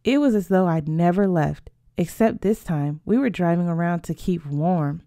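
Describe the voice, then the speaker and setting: A woman's voice: on the low side, moderate pace, dark tone, no room sound, studio quality. A woman narrating a first-person story as a scripted audiobook reading. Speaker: audiobook reader.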